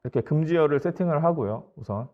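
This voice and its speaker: A man's voice: on the high side, lively intonation, fast talker, muffled recording. A man giving a formal, polite and matter-of-fact business tech presentation as a company presenter, explaining slides step by step.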